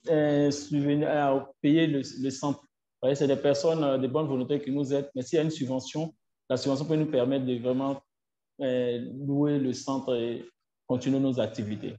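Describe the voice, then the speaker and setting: Halting man on an echoey call. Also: mid-range voice, fairly even intonation, mellow. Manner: halting, repeats himself while searching for words. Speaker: association leader guest. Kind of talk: webinar interview answer. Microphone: video call. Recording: echoey, muffled online call audio.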